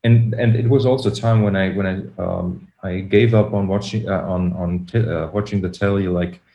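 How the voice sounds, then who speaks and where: A man's voice: low-pitched, fairly even intonation, normal speed, dark tone, dull audio. Man, hesitant, roomy sound. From Germany. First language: German. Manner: hesitant, searching for words, many restarts. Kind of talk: casual conversation.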